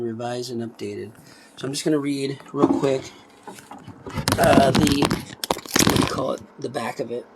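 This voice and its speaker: A man reading a book aloud in an informal way, emphatic and deliberate on the text, with offhand asides in between. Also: mid-range voice, a little hiss or hum, low-quality recording.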